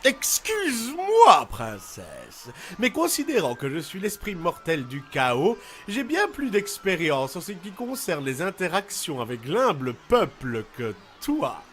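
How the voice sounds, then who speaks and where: A theatrical cartoon chaos spirit, pompous and boastful. His pitch leaps about dramatically from word to word. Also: wildly swooping pitch, measured pace, hardly any pauses, fairly bright tone, heavily acted. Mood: haughty. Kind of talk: cartoon fandub acting.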